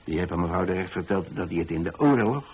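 A man's voice: low-pitched, animated, fast, darkish tone, breathy edge, heavily acted. An actor playing an older, plain-spoken pensioner in a radio drama, telling his story in a hesitant, repetitive, folksy way. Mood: reflective. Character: rambling, plain-spoken old pensioner who takes in lodgers. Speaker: narrator of a radio play.

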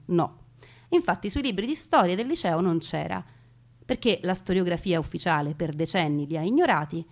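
A woman narrating a family wartime story as a theatrical monologue, with dramatic pauses and deliberate emphasis. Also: medium pitch. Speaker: stage narrator telling grandfather's wartime story.

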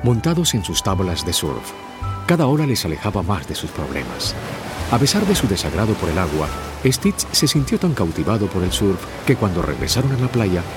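A man reads a scripted story for children as its narrator. His voice is low, with animated rises and falls. Music plays clearly underneath.

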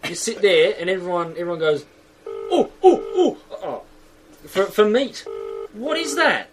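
An Australian man co-hosting a podcast, telling stories in an animated, mocking way. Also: high-pitched, a little slow, slight background noise. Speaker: podcast co-host. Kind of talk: casual podcast chat.

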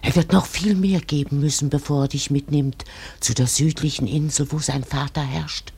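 An actress playing a greedy, scheming Malay mother in a radio drama, husky and breathy, whispering insistently. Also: sits low, steady tone, normal speed, darkish tone, exaggerated voice. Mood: greedy gloating.

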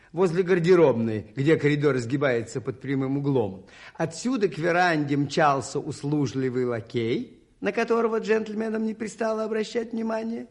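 A shrewd, modest priest in a radio mystery. His husky voice runs a little high and moves with lively intonation. He explains politely and with measured care. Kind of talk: 1980s radio play acting.

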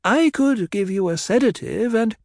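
A man's voice: high-pitched, animated, moderate pace, creaky, dry recording, clean studio sound, voice pushed up. A man voicing a nervous male court doctor who speaks hesitantly and apologetically. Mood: deferential.

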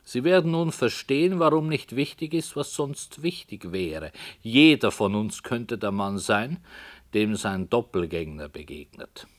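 A slow, deep-voiced author. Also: male voice. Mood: measured. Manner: slow, measured, lively intonation. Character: deliberate male radio-play author.

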